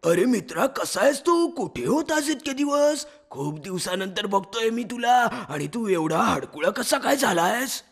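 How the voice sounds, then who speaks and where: A man voicing a cunning fox minister in a children's animal story, raspy and creaky, with a wheedling, flattering manner. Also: high voice, unhurried, hardly any pauses, full character voice. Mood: coaxing, falsely friendly. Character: cunning sweet-talking fox, minister to a lion.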